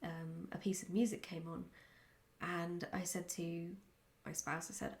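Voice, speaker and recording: A woman chatting casually in a question-and-answer vlog, speaking in a candid, reflective and somewhat halting way. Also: lowish voice, flat delivery. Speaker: writer answering follower questions.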